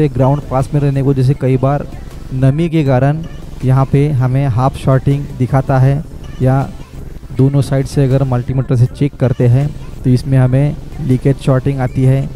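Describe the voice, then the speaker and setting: A man talks viewers through a phone repair at his workbench, step by step, often calling them "friends". His voice is very dark and mellow, at an ordinary pitch for a man. Faint music plays behind him. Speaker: mobile repair technician.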